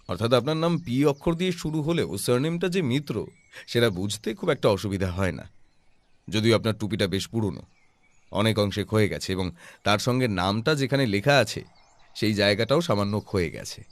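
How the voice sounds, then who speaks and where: An actor playing a calm, observant detective who explains things in a measured, self-assured way in an audio drama. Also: male voice, fairly low pitch, no echo. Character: calm, observant male detective, patiently explaining his deductions.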